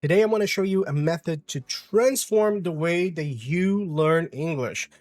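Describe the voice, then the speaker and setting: Brazilian-accented man, slightly high voice. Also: moderate pace, a little mellow, no room sound. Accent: Brazilian Portuguese. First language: Brazilian Portuguese. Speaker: English teacher. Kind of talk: explaining his course step by step.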